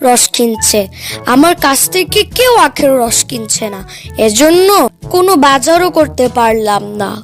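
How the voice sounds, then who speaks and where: A woman's voice: high voice, animated, unhurried. A woman voicing a jealous, grumbling male tiger sugarcane seller in a children's cartoon, whining and resentful. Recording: music under the voice.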